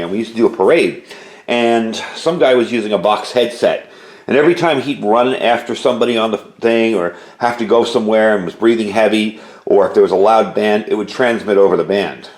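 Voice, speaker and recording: A man's voice: fairly low pitch, a little mellow. A man giving a casual, rambling product review as a hobbyist, explaining features in a conversational way. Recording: echoey room with faint background noise.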